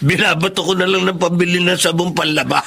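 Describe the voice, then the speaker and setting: A man's voice: high voice, fairly even intonation, brisk, vocal fry, background noise. An actor playing a cocky, vice-loving womanizer in a Filipino radio drama, talking in a leering, boastful way. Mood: boastful, amused.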